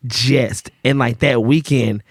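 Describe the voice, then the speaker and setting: A man's voice: medium pitch, fairly even intonation, slow pace, gravelly, no echo, clean studio sound. A man, telling his life story in a loose, slangy podcast chat. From Memphis, Tennessee. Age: 30s. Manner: laid-back slangy storytelling with fillers. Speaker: guest bartender.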